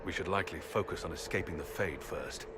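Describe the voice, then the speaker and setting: A low male voice comes through clear rumbling noise. It is a battle-worn fantasy game adventurer, played by an actor, wary and blunt, with a dry way of talking. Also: brisk, lightly acted. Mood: wary, accusing. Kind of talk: video game cutscene acting. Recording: noisy game capture with rumbling ambience.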